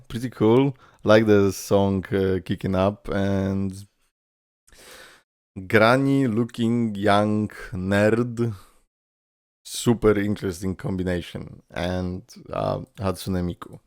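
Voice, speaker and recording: Deep creaky-voiced man reacting casually. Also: slow, darkish tone, dry recording, studio quality. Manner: playful, swearing. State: voice tired and strained from long talking. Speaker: reaction video host.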